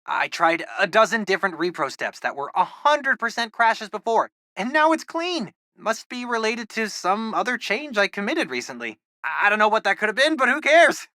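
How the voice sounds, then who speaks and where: A man with an American accent voicing a geeky, excitable teenage boy, a loyal superhero's tech sidekick in a video game, talking informally and eagerly. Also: high voice, normal speed, few pauses, dry recording, heavily acted. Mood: excited, amazed. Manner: informal, eager, nerdy tech talk with quips. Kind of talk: video game voice acting, casual radio-style chatter.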